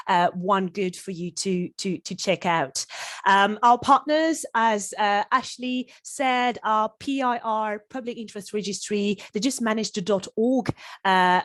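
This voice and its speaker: A woman's voice: medium pitch, no echo. A woman from France with a French accent presents an informal webinar, speaking hesitantly and often repeating words as she goes.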